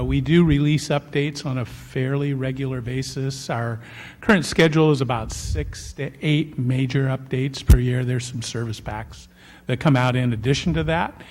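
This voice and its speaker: A man with a big voice, a software vendor answering council questions in measured explanations. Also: medium pitch, in a large hall. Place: city council chamber.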